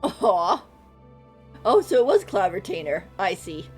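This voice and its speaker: A woman's voice: mid-range voice, sing-song, measured pace. A woman streaming a game, giving casual live commentary and thinking aloud in a rambling way. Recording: faint game music behind.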